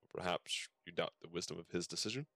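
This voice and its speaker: Suspicious imperial guard from a game, read by a man, stern, deep, dry recording. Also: fast talker, creaky, pushed and bright, no room sound, heavily acted. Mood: stern, warning. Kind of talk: reading game dialogue aloud.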